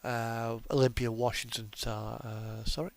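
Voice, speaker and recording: Slow, creaky-voiced man muttering through gameplay. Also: medium pitch, little pitch movement. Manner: muttering to himself, hesitant, thinking aloud. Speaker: livestreamer, video game host. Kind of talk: casual unscripted gameplay commentary.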